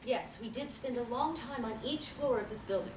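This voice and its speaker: A woman's voice: on the high side, close to an everyday voice. Woman reading a composed, evasive therapist. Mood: cool, formal. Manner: formal, measured, avoids contractions. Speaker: table-read actor. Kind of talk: script table reading. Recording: roomy, distant mic, audible hiss.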